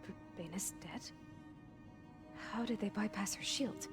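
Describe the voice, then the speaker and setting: A woman's voice: medium pitch, unhurried, natural voice. Woman acting anxious, precise young woman over music. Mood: guarded, subdued. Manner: clipped, formal, precise wording. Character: anxious, defensive young clone woman, coolly precise, panicky under pressure. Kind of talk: video game dialogue acting. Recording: music behind the voice.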